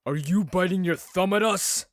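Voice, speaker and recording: A man's voice: sits high, moderate pace, dry recording, studio quality, lightly acted. An actor playing a confrontational young male servant in an audio drama. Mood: challenging. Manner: challenging, confrontational.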